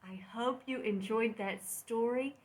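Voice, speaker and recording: Female children's storyteller, higher register, very unhurried, expressive phrasing with deliberate pauses. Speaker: librarian reading a story aloud.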